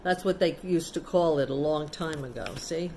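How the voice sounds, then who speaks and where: A woman teacher reading a picture book to children, pausing to explain things simply and ask the young listeners questions. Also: sits low, mellow, a little hiss or hum. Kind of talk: storybook read-aloud with chatty asides to children.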